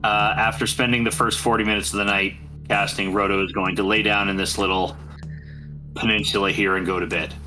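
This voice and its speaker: A man's voice: lowish voice, level tone, fairly quick, rough recording. Male tabletop player talking over music. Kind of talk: casual game chat. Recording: loud music behind the voice. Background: loud background music.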